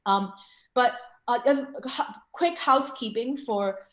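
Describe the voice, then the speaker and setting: A woman's voice: a little high, a little slow. A woman hosting a casual online panel over a video call, chatty and full of fillers. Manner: filler-heavy, chatty, frequent restarts. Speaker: webinar host and co-founder. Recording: echoey room.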